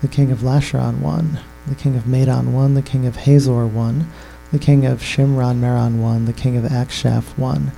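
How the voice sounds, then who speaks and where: A man reading scripture aloud in a steady, monotone listing voice. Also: medium pitch, moderate pace, creaky, hardly any pauses, faint music behind, poor audio.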